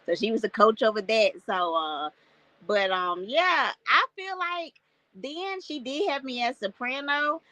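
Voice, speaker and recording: A woman in her thirties from North Carolina with a squeaky voice, chatting casually as an interview guest, laughing often and using lots of fillers. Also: animated, measured pace, bright, forceful tone, no room sound, rough recording. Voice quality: self-described squeaky voice. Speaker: guest artist.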